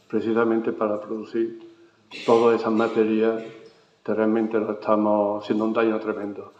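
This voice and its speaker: A man's voice: lowish voice, a little mellow. A man moderating a round-table talk, firm and emphatic. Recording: echoey hall, dull sound.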